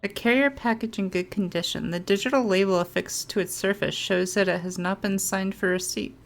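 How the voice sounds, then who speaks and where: A woman's voice: medium pitch, steady tone, fairly quick. A woman doing casual gameplay commentary, playful and switching into reading game text aloud. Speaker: game streamer.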